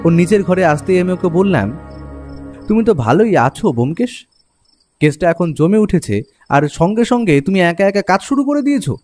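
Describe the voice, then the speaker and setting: A man's voice: sits high, sing-song, normal speed, darkish tone. A man with a Bengali accent giving dramatic first-person narration of a detective story. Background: music under the narration.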